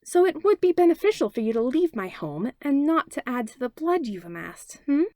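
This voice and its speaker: Woman playing a tiny sugary-sweet lawyer lady, slow and cutting, dry close sound. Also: high voice, sing-song, darkish tone, dry recording. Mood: cold. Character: small fearless woman lawyer, sickly sweet.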